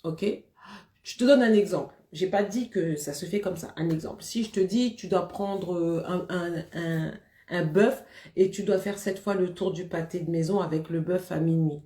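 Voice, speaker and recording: Femme chaleureuse dans une pièce qui résonne. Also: female voice, fairly low pitch, normal speed, dark tone, echoey room. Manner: chatty, laughs often, greets viewers by name. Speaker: Vodou priestess hosting a live stream. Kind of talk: casual live-stream chat.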